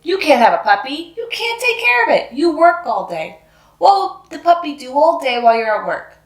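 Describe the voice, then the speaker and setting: A woman's voice: high voice, big pitch swings, moderate pace, voice pushed up. A woman voicing a stern, exasperated grown-up scolding someone in a storybook. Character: scolding, reasonable-sounding grown-ups confronting Cindy. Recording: roomy, echoing sound.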